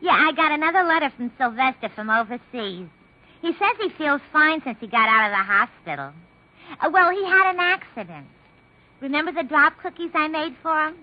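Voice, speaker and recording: A woman's voice: sits high, expressive, a little fast. A woman playing a chatty, wisecracking switchboard operator in a 1950s radio comedy monologue, gossipy and slangy. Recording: dull 1950s radio recording.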